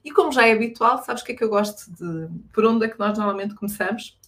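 A woman's voice: medium pitch, quick pace, a bit bright. A woman hosting a live talk show, introducing and addressing her guest. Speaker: host.

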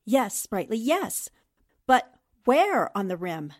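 Lively, sharp-witted 1940s newspaperwoman, played by a woman. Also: a little high, slow pace, exaggerated voice. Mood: excited, knowing. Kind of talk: 1940s radio drama acting.

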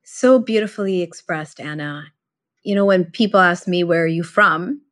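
A woman's voice: on the low side, normal speed, fairly bright tone. A woman hosting a podcast, chatting enthusiastically and using plenty of casual fillers. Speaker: podcast host. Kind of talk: casual conversational interview.

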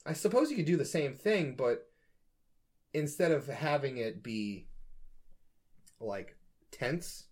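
A man's voice: mid-range voice, animated, slow pace, pauses often, darkish tone. A man co-hosting a podcast, chatting casually and riffing with jokes. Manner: joking, riffing. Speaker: podcast co-host. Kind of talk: casual comedic chat.

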